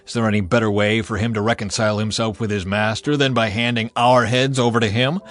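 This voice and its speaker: A man's voice: lowish voice, fairly even intonation, quick pace, fairly bright tone, natural voice. A man voicing angry, suspicious army commanders who make indignant demands.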